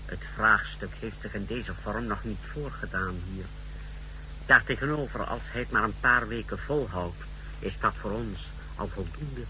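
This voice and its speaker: Very sharp-voiced secret service aide. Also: male voice, fairly low pitch, normal speed, slight background noise, poor audio. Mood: confident. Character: keen, self-satisfied male secret service aide. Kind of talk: 1960s Dutch radio drama acting. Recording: humming old 1960s radio recording.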